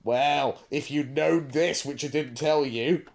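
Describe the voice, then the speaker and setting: A man's voice: fairly high pitch, slow pace, creaky. Man thinking aloud in casual chat. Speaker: podcast co-host.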